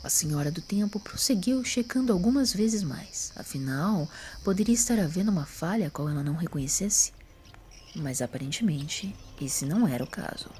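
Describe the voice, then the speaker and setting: A woman's voice: on the low side, lively intonation, moderate pace, warm tone, slight background noise. A woman reading scripted story narration as the narrator of an audio drama.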